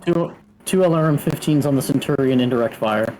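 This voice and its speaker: A man's voice: medium pitch, a little fast, darkish tone, a little hiss or hum, low-quality recording. A man talking casually as a player in a tabletop wargame, matter-of-fact and focused on rules.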